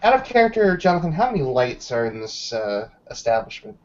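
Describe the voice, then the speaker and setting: A man with a medium-pitched voice talks casually as a roleplaying game player. He narrates a character's actions. The recording is dull and lo-fi. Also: very animated, a little fast.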